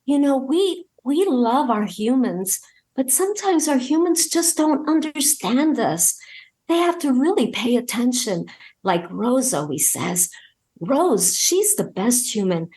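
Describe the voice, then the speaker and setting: A woman's voice: sits high, big pitch swings, a little slow, a little mellow. A woman voicing a friendly, earnest female rescue pit bull who speaks in a sweet, pleading, kindly way.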